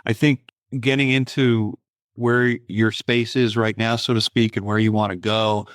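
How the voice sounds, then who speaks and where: A man's voice: on the low side, level tone, a little slow, no echo, clean studio sound. A man hosting a podcast interview, chatting in a thoughtful, conversational way. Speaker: podcast host.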